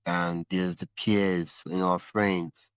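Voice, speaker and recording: A man's voice: deep voice, little pitch movement, takes it slowly, clear, resonant tone, no echo, highs cut off. A man hosting a podcast interview, thinking aloud in long, rambling follow-up questions. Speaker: podcast host. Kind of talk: conversational interview.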